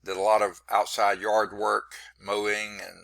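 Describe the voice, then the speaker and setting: A hoarse, congested man teaching a math class over a video call, narrating his screen setup in a rambling, self-deprecating way. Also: fairly low pitch, monotone, measured pace, fairly bright tone, dry recording. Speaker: math instructor. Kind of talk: online class lecture. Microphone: computer mic on a video call.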